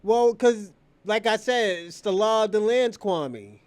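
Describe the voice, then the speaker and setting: A man's voice: high-pitched, lively intonation, measured pace, smooth, dry recording. A man hosting a casual livestream, talking off the cuff in an opinionated, rambling way and repeating phrases. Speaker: live stream show host.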